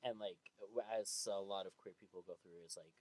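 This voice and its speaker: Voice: sits low, fairly even intonation, fairly quick, dry recording. A man in his twenties co-hosting a podcast in a small studio, chatting casually with many fillers and frequent laughs. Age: 20s. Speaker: podcast co-host. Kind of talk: casual chat. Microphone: studio mic on stand.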